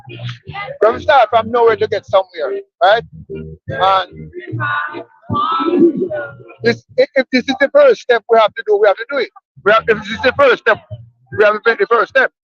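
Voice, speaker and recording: A man's voice: very high-pitched, very animated, measured pace, gravelly, long pauses, a bit bright, no room sound, soft background music. A middle-aged man with a Jamaican accent, a protester interviewed outdoors, emphatic and repeating himself, often asking "right?". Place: outdoors on a wet street. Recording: dull lo-fi outdoor recording.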